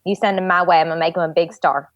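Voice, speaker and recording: A woman's voice: lowish voice, fairly even intonation, rapid-fire, highs cut off, natural voice. A woman playing a brash, blunt, hard-selling agent for child actors in improvised comedy. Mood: brash, impressed. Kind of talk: improvised comedy character talk.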